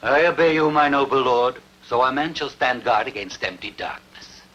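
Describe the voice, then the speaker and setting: A man's voice: mid-range voice, normal speed, fairly bright tone, lo-fi sound, a little hiss or hum, close to an everyday voice. A man acting a confident royal counsellor in a dubbed historical epic, speaking formally and declaiming to his lord. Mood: deferential.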